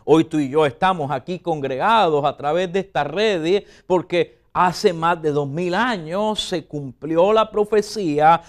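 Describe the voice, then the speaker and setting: A man from Rico, preaching a fervent sermon and speaking straight to his listeners. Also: fairly high pitch. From Puerto Rico. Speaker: pastor.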